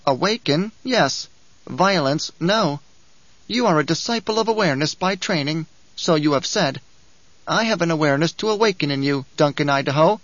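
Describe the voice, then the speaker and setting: A shrill, whining little male dwarf, full of spite and mockery, who, as the narrator puts him on, rides high above the reader's own voice. He sneers and coaxes in an audiobook read that sounds dry and dull. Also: animated, moderate pace, fairly bright tone, no echo. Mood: taunting. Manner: whining, giggling, taunting. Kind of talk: audiobook character reading.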